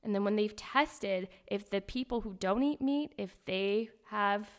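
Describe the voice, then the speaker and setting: A woman's voice: medium pitch, measured pace, clear, resonant tone. A woman doctor, a guest on an interview, explaining medical terms step by step in an earnest way.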